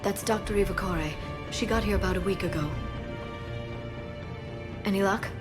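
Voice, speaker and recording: A woman playing a composed soldier in a sci-fi video game, speaking in a crisp, matter-of-fact tactical way. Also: medium pitch, moderate pace, close to an everyday voice. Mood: focused. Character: composed military woman squadmate guiding her commander. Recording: loud music underneath. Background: loud game music.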